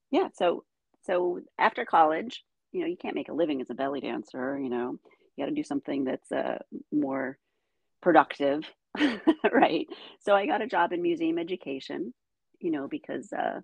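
A middle-aged woman, first raised speaking Ukrainian and from the United States, telling her life story as a guest in animated conversation. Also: deep voice, moderate pace, vocal fry, slightly rough, no echo. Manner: animated storytelling, frequent "you know". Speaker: guest, dancer.

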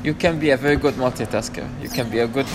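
A man's voice: medium pitch, fast talker, music in the background. A man hosting a podcast in casual chat, joking and teasing his guest. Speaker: podcast host.

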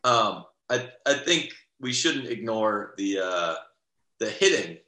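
Chatty young man, bright roomy voice. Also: fairly low pitch, animated, slow. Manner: rambling, lots of restarts and fillers. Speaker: podcast co-host. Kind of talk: casual sports podcast chat.

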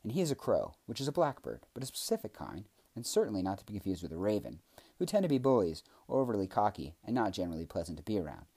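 A man voicing an excitable young male creature who rambles in rapid, overexcited chatter. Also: deep voice, vocal fry, slightly rough, exaggerated voice.

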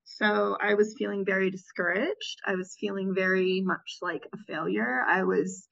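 A woman in her thirties answers interview questions in a relaxed, reflective way. She pauses and restarts often as she works through her memories. The recording sounds a little dull and lo-fi. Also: mid-range voice, gravelly. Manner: reflective, with frequent restarts and fillers. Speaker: interview guest, former Peace Corps volunteer.

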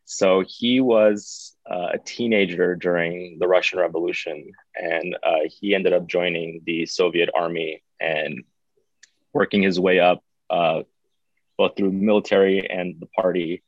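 A man, talking as an interview guest in an unhurried way with frequent filler sounds. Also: deep voice, fairly even intonation, no echo. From born in Baku, raised in the United States. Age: 30s. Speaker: podcast guest. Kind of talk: casual interview. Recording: dull, dry sound.

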